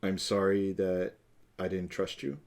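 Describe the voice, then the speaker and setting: A man co-hosting a relationship talk show, with a booming voice, speaking slowly and deliberately as he mirrors back what his partner said. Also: vocal fry, darkish tone. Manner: slow, deliberate mirroring with fillers. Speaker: co-host. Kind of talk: live relationship talk show, role-play of a conflict repair.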